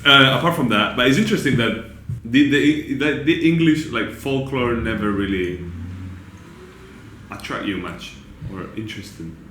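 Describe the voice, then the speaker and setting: A man interviewing a guest in a relaxed, hesitant way, asking curious questions with frequent restarts. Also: mid-range voice, normal speed. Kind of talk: casual interview chat. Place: studio room. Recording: echoey room with faint background noise.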